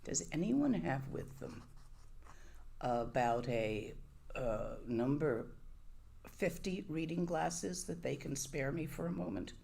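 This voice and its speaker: A woman's voice: low-pitched, animated, slow, gravelly, warm tone. A woman giving a Buddhist dharma talk to a live audience, conversational and wry, often asking her listeners questions. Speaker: Buddhist teacher.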